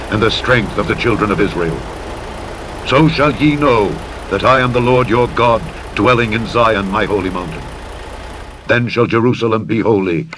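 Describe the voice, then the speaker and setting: A man narrating the Bible in a solemn, dramatic, measured and declamatory style. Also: lowish voice, fairly bright tone, rough recording. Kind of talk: solemn dramatic scripture reading. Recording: engine and vehicle noise over playback. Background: truck engine and road noise from a driving game.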